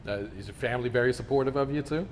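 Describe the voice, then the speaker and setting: A man's voice: mid-range voice, rapid-fire, long reverb tail, slight background noise. A man interviewing a guest, with brief and supportive prompts. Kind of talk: conversational interview questions.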